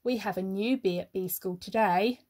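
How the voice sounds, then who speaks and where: A woman's voice: medium pitch, normal speed, a little mellow, lightly acted. A woman voicing an authoritative queen bee who runs a school, proclaiming her words in a children's story. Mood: welcoming. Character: brisk, commanding queen bee in charge of school.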